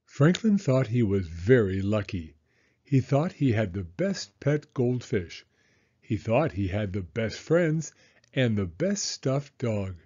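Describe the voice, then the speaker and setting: A man narrating a children's storybook aloud in an expressive, measured storytelling manner. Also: fairly low pitch, slightly rough, highs cut off.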